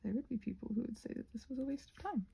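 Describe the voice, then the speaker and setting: A woman rambling casually in an ASMR style while crafting, musing aloud and gently reassuring, her soft breathy voice often slipping into a whisper. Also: a little high, rapid-fire.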